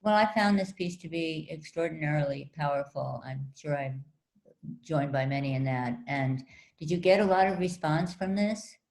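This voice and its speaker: A woman interviewing an artist, asking halting, searching questions. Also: deep voice, darkish tone, poor audio. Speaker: interviewer, editor at large. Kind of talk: conversational interview.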